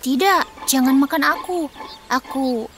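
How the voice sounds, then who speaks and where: Tiny high-pitched talking bun. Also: female voice, unhurried, background noise. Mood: pleading. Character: small childlike talking bun, naive, pleading.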